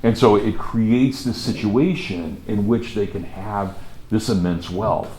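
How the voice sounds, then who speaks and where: A man with a Kansas accent giving a college lecture, telling history as a lively story and repeating words for emphasis. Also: on the low side, takes it slowly. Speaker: college professor. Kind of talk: classroom lecture. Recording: echoey classroom from far off, faint noise.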